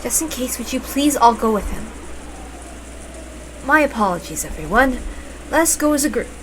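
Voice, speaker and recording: A woman's voice: fairly high pitch, very animated, moderate pace, noisy background. A woman voicing a shrewd, suspicious mother who gives polite but pointed orders in a story reading. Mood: polite command. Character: shrewd, suspicious mother taking command of her family.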